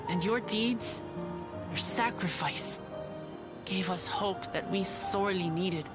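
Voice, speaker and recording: An actress playing a gentle, sincere young woman adventurer in a fantasy game, speaking in a measured, consoling way. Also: mid-range voice, over loud music, lightly acted. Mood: sincere, grateful.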